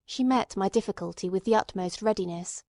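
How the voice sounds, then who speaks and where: A woman's voice: medium pitch, brisk, mellow, dry recording, clean studio sound. A woman reading scripted literary narration as an audiobook narrator.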